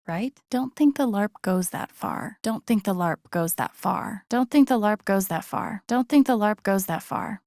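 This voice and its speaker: A woman's voice: medium pitch, vocal fry, darkish tone, no echo, studio quality. A young woman with an American accent acting a video game heroine, an empathetic, wry character who murmurs casually to herself. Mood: playful. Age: young adult. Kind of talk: naturalistic video game voice acting, inner monologue.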